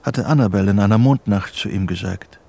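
A man narrating a psychological thriller in a radio play, reading literary prose in a measured, serious way. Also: fairly low pitch, warm tone. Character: sober third-person storyteller of a psychological thriller. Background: music.

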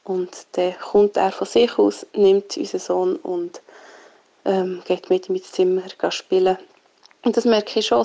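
A woman with a Swiss German accent gives a casual personal account. Her voice is mellow and creaky, with very little movement in pitch. The recording sounds a bit dull and lo-fi. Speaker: parent sharing experience.

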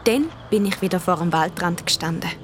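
A woman's voice: lowish voice, a little fast, background noise, close to an everyday voice. A female performer playing a thoughtful young girl who narrates her own story in a radio play, with a Swiss German accent. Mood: reflective.

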